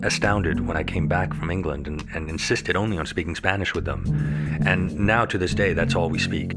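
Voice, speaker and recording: Deep-voiced reflective middle-aged man. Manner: thoughtful, halting, restarts mid-thought. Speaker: documentary interviewee. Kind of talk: reflective personal interview. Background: loud guitar music.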